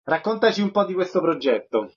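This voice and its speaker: A man's voice: sits high, sing-song, brisk, breathy edge. A man working as a radio host, interviewing guests about theatre. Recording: muffled lo-fi radio audio.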